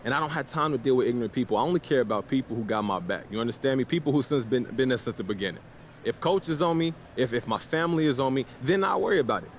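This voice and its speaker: An actor playing a cocky, defiant young American basketball star, casual and boastful in a sports game's post-game interviews. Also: male voice, mid-range voice, animated, racing, a little hiss or hum, natural voice. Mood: defensive, defiant. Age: young adult. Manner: boastful, self-assured, casual.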